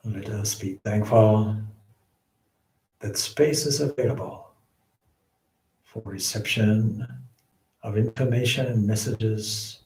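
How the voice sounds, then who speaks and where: A man leading a guided meditation as a swami, with measured, solemn phrasing and frequent pauses. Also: on the low side, a little mellow. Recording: roomy, echoing indoor sound.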